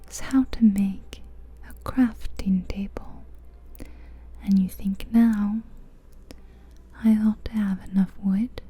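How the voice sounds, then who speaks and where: A woman whispering gently in a breathy, soothing ASMR style, guiding a relaxing visualization with long unhurried pauses. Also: mid-range voice. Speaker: ASMR guide narrating a guided visualization. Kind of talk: soft-spoken ASMR guided visualization. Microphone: close-up ear-to-ear ASMR microphone.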